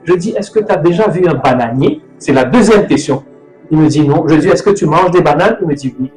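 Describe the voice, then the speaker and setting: A man's voice: on the high side, fairly quick. A middle-aged man from Mauritius hosting a casual talk on food, rambling and correcting himself as he goes. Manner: rambling, loose, self-correcting. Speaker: host. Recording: echoey room with music. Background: soft music.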